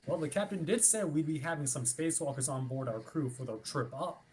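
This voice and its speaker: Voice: mid-range voice, a little fast, noisy background, natural voice. An actor playing an officious, politely pushy ship's watch officer in a formal, wordy script reading. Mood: wry, skeptical. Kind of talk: theatrical script reading over a livestream. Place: remote online stream.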